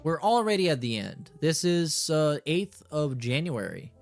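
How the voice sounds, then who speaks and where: Animated man doing sweary reaction commentary. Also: a little high, unhurried, gravelly, faint music behind. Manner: sweary, slangy, mocking. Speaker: streamer and commentator. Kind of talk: casual reaction commentary, reading messages aloud.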